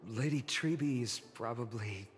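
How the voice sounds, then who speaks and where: An actor playing a practical, plain-spoken young adventurer in a fantasy video game, casual and slightly wry. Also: male voice, medium pitch, moderate pace, in a large hall, a little hiss or hum, lightly acted. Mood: wry, doubtful. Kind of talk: video game story acting.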